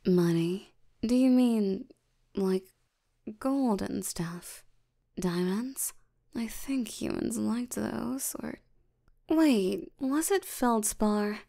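A woman voicing a tired, languid sloth demon in an intimate ASMR roleplay, soft and often nearly whispering, with a drowsy deadpan delivery. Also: mid-range voice. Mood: sleepy, bargaining. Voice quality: soft, creaky, often breathy near-whisper.

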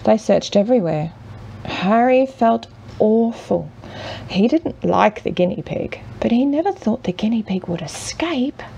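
A woman with a heavily creaky voice reads a children's storybook slowly and expressively. She leaves dramatic pauses and speaks at a middling pitch. There is faint noise in the home recording. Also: animated, lo-fi sound. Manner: measured, with dramatic pauses and hushed drawn-out words. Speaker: mother reading a picture book aloud.

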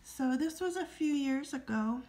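A woman vlogging as she scratches lottery tickets, her throat a little scratchy, calmly reading out numbers in a friendly way. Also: high voice, a little slow. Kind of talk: casual to-camera chat while scratching tickets.

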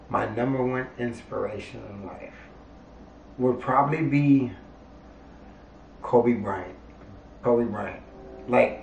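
A middle-aged man from Hawaii hosting a casual family Q&A, animated and jokey, with sudden loud bursts and quiet asides. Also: mid-range voice, vocal fry. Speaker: family show host. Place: indoor bedroom, on a couch. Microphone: handheld mic. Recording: echoey room, mic at a distance, faint hiss.

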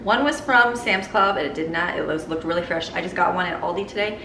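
A woman's voice: lowish voice, a little fast. A woman in her thirties chatting casually to a handheld camera as she works in her home kitchen. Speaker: vlogger. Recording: echoey kitchen with room noise.

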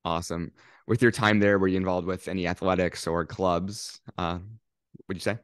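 A young man hosting a podcast interview over a video call, asking curious, upbeat questions into a studio mic on a boom arm. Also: steady tone, moderate pace, no room sound. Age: young adult. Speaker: podcast host. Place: home room on a video call.

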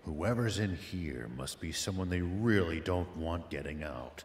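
Deep, stern older sheriff. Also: male voice, lively intonation, a little slow, a little hiss or hum. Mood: grave, measured. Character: stern older male sheriff, cautious, composed. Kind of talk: video game cutscene acting.

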